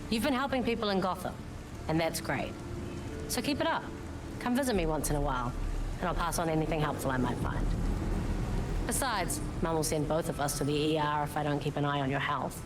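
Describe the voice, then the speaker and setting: Low-voiced frank, caring woman actress in role. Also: fairly quick, noisy background, lightly acted. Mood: frank, supportive. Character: frank, caring professional woman helping a vigilante. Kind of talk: naturalistic video game voice acting.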